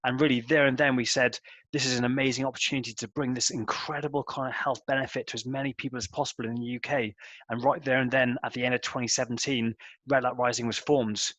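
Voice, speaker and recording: A man's voice: medium pitch, steady tone, fast talker, a bit bright, no room sound. A man in his thirties, a company co-founder, telling his background in a loose, rambling interview answer full of fillers. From Wales. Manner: loose, filler-heavy storytelling.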